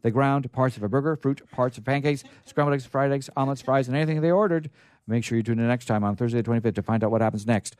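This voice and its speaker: A man's voice: mid-range voice, fast talker, darkish tone, no echo. A man hosting a radio show, reading a story aloud live in a casual way and stumbling now and then into asides.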